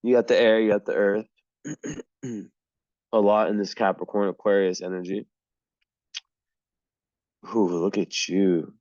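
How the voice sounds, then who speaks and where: Deep, slow-talking hoarse man. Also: flat delivery, darkish tone, dry recording. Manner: rambling, halting, frequent throat clearing. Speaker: astrologer. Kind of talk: solo chart reading. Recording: dull lo-fi sound.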